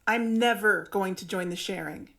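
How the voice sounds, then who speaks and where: A woman's voice: mid-range voice, moderate pace, gravelly, fairly bright tone. A woman playing a guarded, distrustful investigator in an audio drama, with a terse, serious, naturalistic delivery. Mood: defiant.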